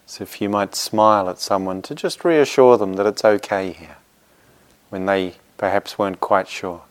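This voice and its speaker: Smooth, low-voiced man guiding a meditation. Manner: gentle, reassuring, unhurried pauses between phrases. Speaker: meditation teacher. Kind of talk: guided meditation.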